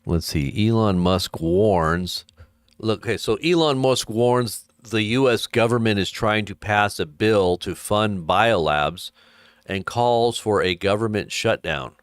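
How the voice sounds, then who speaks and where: A man's voice: fairly low pitch, takes it slowly, clear, resonant tone, no room sound, clean studio sound. A man hosting a casual live stream, reading news headlines aloud and adding wry asides with chuckles. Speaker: host of an online ministry live stream.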